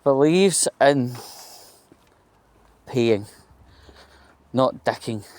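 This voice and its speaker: A Scottish man rambling through a casual anecdote in a halting, opinionated and aggrieved way. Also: medium pitch, animated, a little mellow. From Scotland. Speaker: vlogger.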